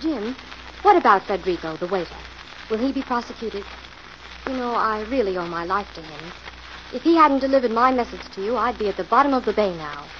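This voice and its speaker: Woman playing plucky girl sleuth, mid-pitched, inquisitive, teasing, old-time radio mystery, faint noise. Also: animated, a little fast, highs cut off, natural voice. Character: bright, plucky female detective's assistant. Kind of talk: 1940s radio acting.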